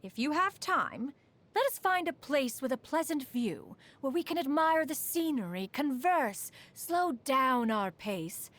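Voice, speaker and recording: A woman's voice: high voice, big pitch swings, a little slow, a bit bright, heavily acted. A woman voicing a proud, refined immortal sage and inventor in a video game, with formal, archaic, poised diction. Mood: composed, gracious. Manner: formal, stately, archaic diction, refers to herself as "one". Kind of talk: scripted game character voice lines.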